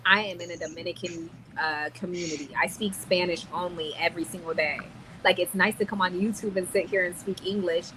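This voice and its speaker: A woman's voice: fairly low pitch, fairly even intonation, fairly quick, crowd noise, rough recording. A woman hosting a livestream panel, chatting bluntly and casually with slangy speech. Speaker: livestream host.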